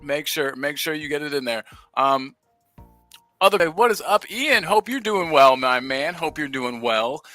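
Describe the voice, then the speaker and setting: A man's voice: fairly high pitch, lively intonation, measured pace, no echo, soft background music. Hyped American man hosting a livestream. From United States. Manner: upbeat, hyped shout-outs to chat. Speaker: livestream host. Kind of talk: casual livestream chat reading comments.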